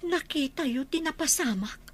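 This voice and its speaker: A woman's voice: sits high, animated, normal speed. A woman voicing an aggrieved, complaining child in a radio drama.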